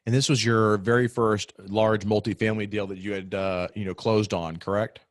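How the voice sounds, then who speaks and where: A man in his thirties hosting an interview podcast from a home office on a desk mic, upbeat and quick. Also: on the low side, fairly even intonation, dry recording, clean studio sound. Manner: upbeat, quick, scripted-sounding intros. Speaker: podcast host. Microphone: desk podcast mic on video call.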